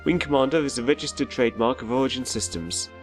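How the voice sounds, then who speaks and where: A male narrator with a medium-pitched voice reads scripted closing credits for an audio drama. Music plays clearly behind him. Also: fast talker.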